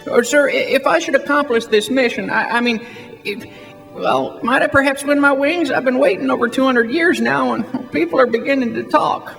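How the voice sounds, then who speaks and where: Man acting a high, creaky, fussy old angel. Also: moderate pace, a bit bright, exaggerated voice. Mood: eager, flustered. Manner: flustered, stammering, polite. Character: eager, fussy, kindly elderly male apprentice angel. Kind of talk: radio drama acting. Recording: hall reverb, music underneath.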